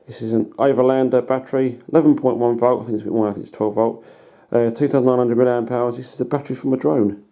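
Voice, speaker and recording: A British man giving a casual hands-on demonstration as a hobbyist, in a halting, matter-of-fact way. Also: medium pitch, steady tone, mellow, no echo. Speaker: hobbyist presenter. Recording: noticeable background noise.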